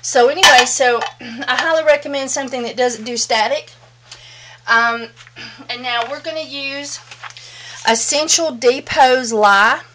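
A middle-aged woman with a Southern US accent gives a chatty, folksy soapmaking demonstration in her home soap room. Her voice sits high, and she talks warmly and plainly to her viewers, as if they were sitting beside her. She is picked up by the camera mic rather than a mic clipped close to her. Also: a little slow, pauses often, slight background noise. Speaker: soapmaking instructor.

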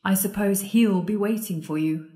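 A woman's voice: mid-range voice, normal speed, mellow, lightly acted. Actress doing wry scared woman at the apocalypse, babbly nervous jokes, audio play, roomy echo. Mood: nervous joking. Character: sardonic, frightened woman confessing at world's end. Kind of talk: audio drama acting. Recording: echoey room.

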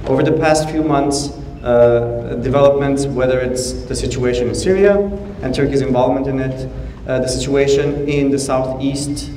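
A middle-aged man moderating a public forum, speaking in a measured, halting way with pauses mid-sentence. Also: medium pitch, steady tone, mellow. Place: large hall stage, seated at table. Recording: echoey hall, distant, faint noise.

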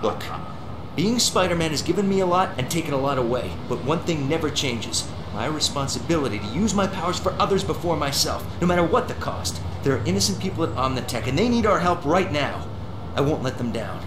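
A man's voice: a little high, animated, brisk, echoey room, background noise, close to an everyday voice. An actor playing an earnest, wisecracking young male superhero in a video game, quippy and dramatic. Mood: determined, urgent. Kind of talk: video game cartoon-hero acting.

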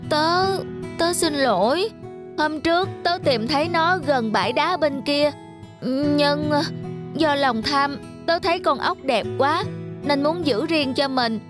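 A very high, childlike voice plays an earnest young friend in a children's cartoon. The phrasing is simple and sincere, like a gentle moral tale, with clearly audible music underneath. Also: female voice, slow pace. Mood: remorseful. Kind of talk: Vietnamese children's cartoon dubbing.

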